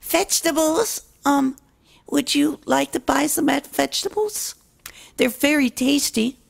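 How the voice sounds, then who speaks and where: A woman's voice: high voice, unhurried, creaky, voice pushed up, full character voice. A woman voicing a shy little filly pony selling vegetables. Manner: soft, nervous, childlike.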